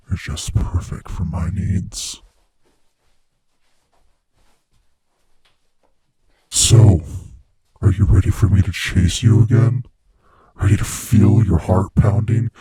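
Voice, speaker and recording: A man playing a sadistic, playfully menacing monster from under the bed in a horror ASMR roleplay, with a breathy, raspy voice that often sinks into whispers as he taunts the listener. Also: low voice, exaggerated voice. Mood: gloating, eager. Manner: slow, taunting, savoring, hushed menace.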